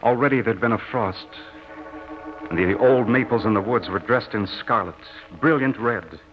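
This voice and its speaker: An actor playing an earnest, lovestruck young soldier home from war, in theatrical old-time radio drama style. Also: male voice, lowish voice, lo-fi sound, over music, close to an everyday voice. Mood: excited anticipation. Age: young adult. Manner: theatrical, drawn-out, dramatic delivery. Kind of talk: old-time radio drama acting, narrated first person. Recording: noisy, band-limited old radio recording.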